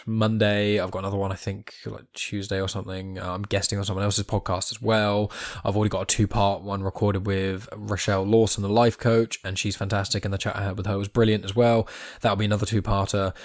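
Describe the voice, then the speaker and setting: Fast-talking, low-voiced man hosting a podcast. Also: no room sound, clean studio sound. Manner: rambling, chatty, self-deprecating asides. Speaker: podcast host. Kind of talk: casual unscripted podcast outro.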